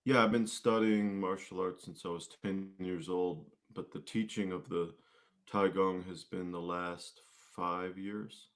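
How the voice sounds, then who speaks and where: A man chatting casually as an interview guest, with hesitant, halting phrasing. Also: low-pitched, fairly even intonation. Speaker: guest, musician and martial arts instructor.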